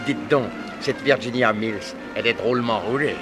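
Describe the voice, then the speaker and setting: A man's voice: medium pitch, moderate pace, over music, close to an everyday voice. An actor playing a chummy, easily impressed man with a familiar, slangy manner in a mid-century French radio drama. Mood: admiring, chummy. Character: chummy, easily impressed man who admires pretty women. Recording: noisy old radio drama recording.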